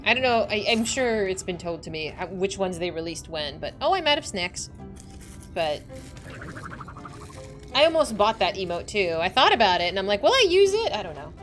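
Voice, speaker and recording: Chatty young woman streamer, lively. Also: moderate pace, faint music behind. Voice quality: slightly squeaky. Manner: excitable, chatty, laughing often. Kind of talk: casual chat while playing a game.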